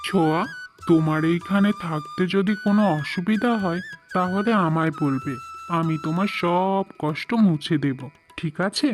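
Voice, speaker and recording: A man's voice: sits high, normal speed, close to an everyday voice. A man voicing a wealthy young groom in a cartoon, a devoted husband who talks earnestly and reassuringly. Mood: tender. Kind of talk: Bengali moral-tale cartoon voice acting. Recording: music behind the voice.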